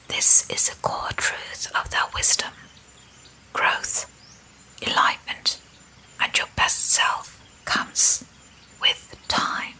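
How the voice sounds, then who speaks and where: A woman reading a scripted spiritual ASMR narration in a breathy whisper, in short, deliberate phrases. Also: sharp tone.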